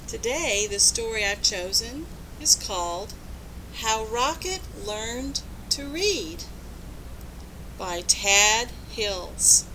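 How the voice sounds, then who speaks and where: Female storybook reader: high, very lively pitch, unhurried, instructive, faint rumble behind. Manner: expressive, instructive, addressing young children. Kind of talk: children's read-aloud, asking listeners questions.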